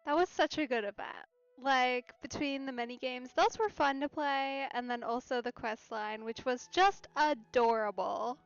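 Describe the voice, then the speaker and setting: A woman streamer with a fairly high voice, reacting casually to a game at a very unhurried pace. She gushes in soft exclamations, in slightly dull sound with game music behind. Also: vocal fry, clear, resonant tone, no echo.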